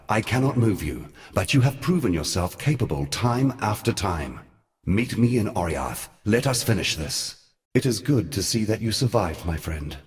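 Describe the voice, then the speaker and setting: An ancient male god from a fantasy game, with a low, deep voice. He is solemn and world-weary, choosing each formal word deliberately. The delivery is theatrical, grave and cunning. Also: a little mellow. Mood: measured, solemn.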